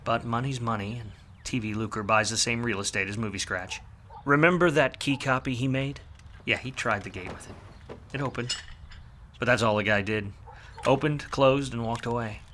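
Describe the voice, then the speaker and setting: An actor playing a hard-drinking, wisecracking, jaded 1940s private detective in a film noir radio play, with sardonic, slangy delivery. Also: male voice, medium pitch, moderate pace, sharp tone, slight background noise, natural voice. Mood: wry, brooding narration.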